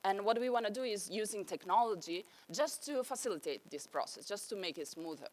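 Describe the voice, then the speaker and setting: A woman's voice: mid-range voice, expressive, a little fast, darkish tone, a little hiss or hum. A young woman with an Italian accent giving an enthusiastic conference talk on stage. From Italy. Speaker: conference speaker.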